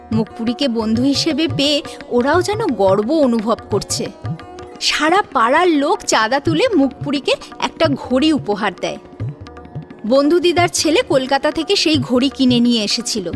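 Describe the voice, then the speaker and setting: A woman narrating a scripted audio story in a dramatic storytelling style. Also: sits high.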